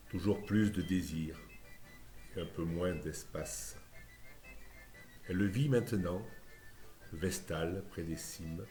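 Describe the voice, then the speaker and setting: A man reciting a scripted poem in a lyrical, measured way, phrase by phrase. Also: sits low, a little mellow. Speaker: poetry reciter. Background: music.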